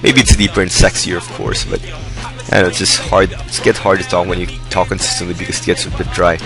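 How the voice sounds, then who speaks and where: A young man with a hoarse voice giving rambling, upbeat commentary over his own gameplay. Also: low-pitched, little pitch movement, a little fast, over music. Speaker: gaming video host. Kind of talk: casual game commentary. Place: indoors, hot room.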